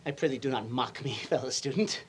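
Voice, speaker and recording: A Canadian reader in a casual table read, playing a brooding, bitter young prince and reading the verse haltingly. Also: medium pitch, slight background noise, lightly acted. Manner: reads verse haltingly, breaking into laughs. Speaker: amateur reader.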